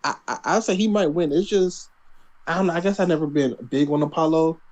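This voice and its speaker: Man, podcast co-host, mellow dark voice, laid-back, skeptical, teasing. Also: a little high, brisk. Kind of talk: casual wrestling predictions chat.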